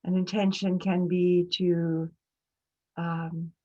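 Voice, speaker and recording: A woman with a lowish, mellow voice guides a meditation at a very slow pace. Her phrasing is gentle and hesitant, with long pauses between short phrases. The sound is slightly dull, like an online call. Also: flat delivery. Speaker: meditation teacher.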